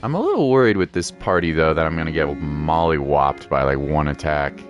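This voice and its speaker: A male game streamer with an extremely low voice, chatting casually as he plays. He is playful and repeats himself, with faint music in the background. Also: expressive, brisk, muffled recording. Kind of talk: casual gameplay commentary.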